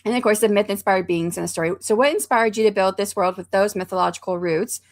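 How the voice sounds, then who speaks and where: A woman hosting a casual interview over a video call, chatty and quick to laugh off her own stumbles. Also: medium pitch, no room sound, clean studio sound. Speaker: host.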